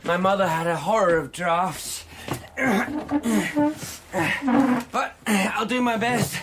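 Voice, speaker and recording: An actor playing a frightened, zealous street preacher in a radio drama, fretful and rambling, with biblical phrasing and repeated words. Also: male voice, high-pitched, moderate pace, natural voice. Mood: fretful muttering. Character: frightened, zealous street preacher clinging to faith amid apocalypse. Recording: loud ambient noise behind the voice.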